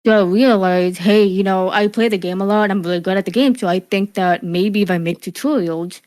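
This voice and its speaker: A woman's voice: medium pitch, brisk, a little mellow. A young woman streamer, Cuban and living in the USA, talking casually in an interview with a speech impediment from hearing loss, full of fillers and restarts. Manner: frequent fillers and restarts, laughs easily.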